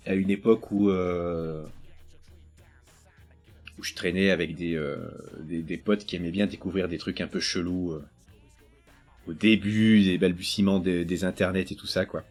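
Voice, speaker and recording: Middle-aged dude, lowish voice, lots of ums, kinda fumbling for words in a chill chat. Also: male voice, steady tone, moderate pace, pauses often, faint music behind. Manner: hesitant, searching for words. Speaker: podcast co-host. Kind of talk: casual chat.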